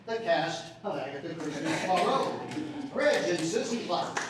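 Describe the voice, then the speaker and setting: A man's voice: a little high, sing-song, a little slow, far from the mic. A man presenting a live audio drama, announcing from the stage to an audience. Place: public library event before an audience. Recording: very echoey, noisy room from off. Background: loud crowd noise.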